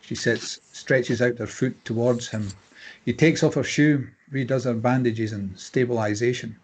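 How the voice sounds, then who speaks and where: A man narrates a screenplay, reading out scene headings and action. His voice sits at an average pitch and has a husky, unpolished grain. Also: fairly even intonation, unhurried, a little mellow, low-quality recording. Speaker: narrator reading stage directions.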